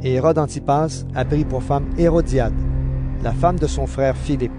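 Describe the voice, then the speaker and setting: A man giving scripted narration for an audio Bible drama in a measured storytelling manner. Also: medium pitch. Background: background music.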